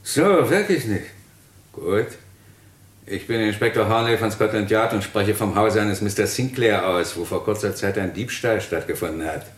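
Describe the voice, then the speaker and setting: Low-voiced, sceptical police inspector played by a man. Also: expressive, natural voice. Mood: formal, polite. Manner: formal, deliberate, politely probing. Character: sceptical, courteous male Scotland Yard police inspector. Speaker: actor in a crime radio play. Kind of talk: German radio crime drama acting.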